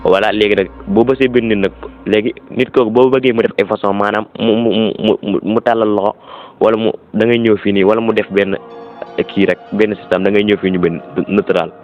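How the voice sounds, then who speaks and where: A man's voice: on the low side, steady tone, fairly quick, highs cut off, soft background music. A man with a Senegalese accent gives an informal step-by-step app tutorial, repeating instructions and mixing in French words. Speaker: tutorial presenter.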